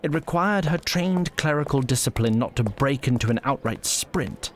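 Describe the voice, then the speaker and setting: A man's voice: mid-range voice, animated, normal speed. A man reading scripted narration for a fantasy story as its narrator.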